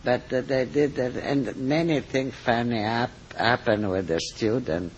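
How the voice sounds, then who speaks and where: An older man with an Italian accent, a cooking teacher telling stories in an interview, halting and full of fillers and restarts. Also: medium pitch, a little hiss or hum. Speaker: cooking school teacher, guest. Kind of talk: interview answers, storytelling. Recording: slightly dull, muffled recording.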